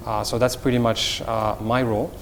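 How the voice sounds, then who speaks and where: A man's voice: medium pitch, level tone, moderate pace. Moroccan-accented man in an echoey hall. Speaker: hotel company executive, interview guest. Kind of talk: semi-formal interview answers. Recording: reverberant hall, faint background noise.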